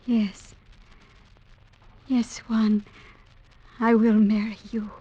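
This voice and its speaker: A gentle, sheltered young sweetheart from an old radio play. She speaks slowly and tenderly in a highish, soft voice. The sound is dull and vintage. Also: flat delivery, very noisy. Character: gentle young woman in love, elder's sheltered daughter. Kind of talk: 1940s-50s radio drama acting.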